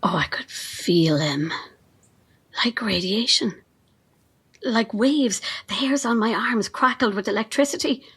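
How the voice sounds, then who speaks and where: An actress playing a fanatical cult assistant in an audio drama, measured, calmly menacing and reverent, with a breathy, husky voice. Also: female voice, fairly high pitch, heavily acted. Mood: rapturous awe. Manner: measured, veiled threats, reverent.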